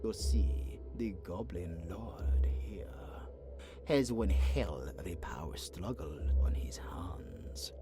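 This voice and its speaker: Guy doing sly mocking fantasy villain, slow drawl, swoops around, fake polite, music behind. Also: low voice, expressive, heavily acted. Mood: smug, taunting. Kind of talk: fantasy audio drama acting.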